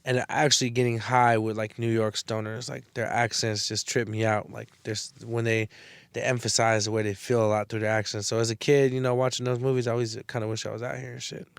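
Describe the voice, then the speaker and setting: A man's voice: medium pitch, level tone, fairly quick, gravelly, smooth, dry recording. A man from the San Francisco Bay Area, a guest in a casual interview, laid-back and slangy, swearing casually. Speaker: guest, rapper and entrepreneur.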